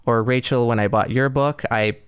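A man's voice: medium pitch, steady tone, moderate pace, heavy background noise. A man talking casually and conversationally as a co-host on a podcast.

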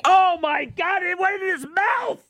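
A man co-hosting a talk show with casual, reactive banter and an excitable manner. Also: very high-pitched, a little slow, no room sound. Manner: excitable, exclamatory.

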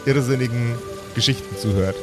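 Guy cohost, middle pitch, feels his way thru words, loud music behind. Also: moderate pace. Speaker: podcast co-host. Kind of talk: casual chat, thanking the audience. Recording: loud music bed, rain-like sound.

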